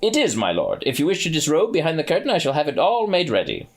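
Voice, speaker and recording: Man playing an obsequious old-style tailor, formal, husky. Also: a little high, huge pitch swings, fast, voice pushed up, full character voice. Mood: deferential. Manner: deferential, formal. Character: obsequious male Regency tailor.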